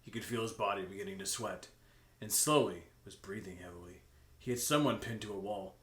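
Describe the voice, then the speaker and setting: A man's voice: fairly low pitch, fairly quick. A man co-hosting a comic fan fiction reading, moving between reading aloud and joking casual banter. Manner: animated, joking, often breaking into laughter. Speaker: co-host and reader. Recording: roomy sound with faint noise.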